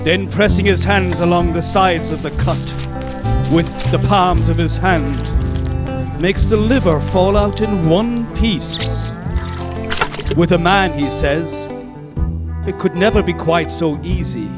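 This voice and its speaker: A man performing poetry theatrically in a measured bedtime-story manner, as if speaking to small children. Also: high-pitched, over loud music.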